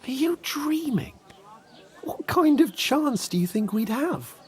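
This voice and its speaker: A man's voice: extremely high pitch, very animated, takes it slowly, slightly rough, heavily acted. An actor playing a hot-tempered, sarcastic young nobleman down on his luck in a medieval video game. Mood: indignant. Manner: sarcastic, swearing, griping. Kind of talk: video game cutscene acting.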